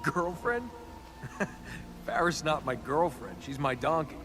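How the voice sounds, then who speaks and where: Lively, high-pitched young roguish hero. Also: male voice, slow pace, noisy background. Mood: wry, teasing. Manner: sarcastic, flippant banter. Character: young roguish wisecracking adventurer, male hero. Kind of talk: video game voice acting.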